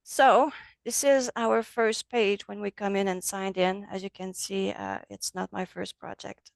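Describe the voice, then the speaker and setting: A woman with a French accent, a native French speaker, teaching a relaxed online software class over a video call. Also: mid-range voice, a little slow, vocal fry, dry recording. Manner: friendly, loose, switches between English and French. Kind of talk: casual online class walkthrough.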